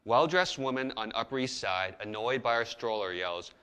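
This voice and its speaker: A man in his forties telling his story to camera from an office, measured and plainspoken. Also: mid-range voice, lively intonation, fairly bright tone, smooth. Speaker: journalist. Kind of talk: scripted testimony to camera. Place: office by a window.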